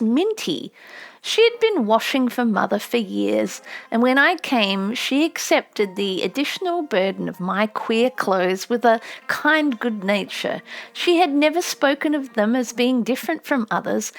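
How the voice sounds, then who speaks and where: A woman reading a literary memoir as an audiobook in a measured storytelling delivery. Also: on the high side, gravelly. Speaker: audiobook narrator.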